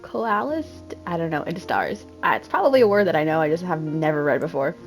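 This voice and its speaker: A woman's voice: sits low, very animated, a little fast, soft background music. A woman reading a game's story aloud in a dramatic style, often breaking off into casual, self-deprecating comments.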